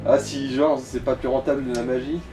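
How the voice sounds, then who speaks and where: A man's voice: mid-range voice, flat delivery, fairly quick. A man giving casual, colloquial and sometimes sweary co-commentary on someone else's video game play. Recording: distant mic, game music behind.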